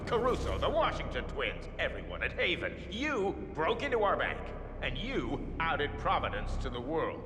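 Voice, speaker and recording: An actor playing a haughty, powerful leader of a shadowy elite in a video game, imperious, disdainful and articulate. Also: male voice, on the high side, wildly swooping pitch, moderate pace, pushed and bright, full character voice. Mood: scornful. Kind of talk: cinematic video game dialogue. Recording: echoey hall with long reverb. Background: prominent music.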